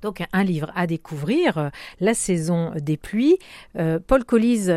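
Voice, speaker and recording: A woman interviewing an author on the radio, asking brisk, leading questions. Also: lowish voice, expressive, warm tone, dry recording, clean studio sound.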